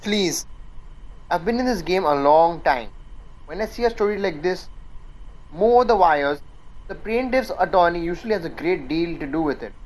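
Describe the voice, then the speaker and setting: A condescending corporate attorney played by a student talks down to opponents in a radio play. The voice is mid-pitched, measured and sardonic. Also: animated, natural voice, low-quality recording. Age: young adult. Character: smug, condescending male corporate lawyer. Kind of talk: student radio drama acting.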